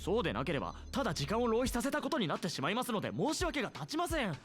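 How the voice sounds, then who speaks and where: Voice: medium pitch, huge pitch swings, very fast, a bit bright. A voice actor playing a polite, earnest young male researcher in a game dub, speaking formally. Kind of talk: Japanese game dub dialogue. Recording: dialogue under game music. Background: game music.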